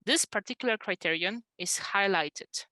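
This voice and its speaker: Bright-voiced woman explaining software. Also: medium pitch, moderate pace, dry recording. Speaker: presenter demonstrating software. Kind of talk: webinar software demonstration, explanatory. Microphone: headset on a video call.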